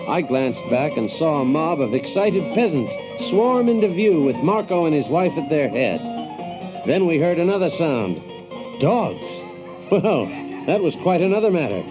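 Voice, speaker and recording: A man's voice: fairly high pitch, rough recording. An actor playing a cocky, wry American adventurer, telling his story as dramatized first-person narration.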